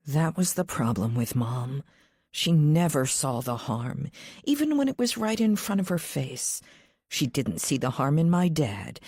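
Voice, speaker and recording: Woman, low voice, audiobook narrator, scripted first-person thriller reading, dry close sound. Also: darkish tone, husky, no echo.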